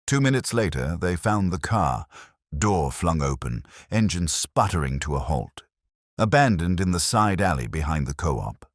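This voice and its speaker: A man narrating a mystery story in a wry, measured, descriptive way. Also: sits low, big pitch swings, no room sound, clean studio sound.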